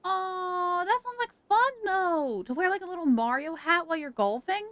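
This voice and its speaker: A woman chatting casually on a game livestream, reacting in an animated, exclamatory way. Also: extremely high pitch, moderate pace, dry recording. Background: game music.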